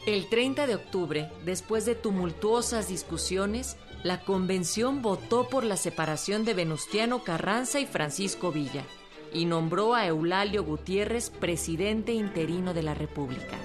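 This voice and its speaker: A woman's voice: medium pitch, expressive, a little slow, over music. A woman narrating a scripted historical radio drama in a formal announcer manner.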